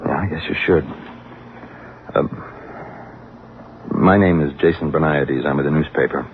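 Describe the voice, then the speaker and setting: An American actor playing a wry, hard-boiled but sympathetic newspaper reporter in a vintage radio drama, with dry, conversational delivery. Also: male voice, extremely low pitch, unhurried, slight background noise. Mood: gentle, low-key. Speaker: actor playing narrator and lead. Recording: muffled vintage radio recording with music.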